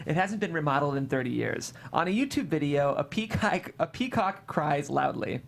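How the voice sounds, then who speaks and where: Lively, expressive screenplay narrator. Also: medium pitch, normal speed, vocal fry. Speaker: host and stage-direction narrator. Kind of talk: scripted narration of a screenplay table read. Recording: faint background room noise.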